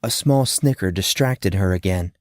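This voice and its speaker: A man's voice: deep voice, normal speed, no room sound, clean studio sound. A man narrating a fantasy story dramatically, in short, suspenseful phrases.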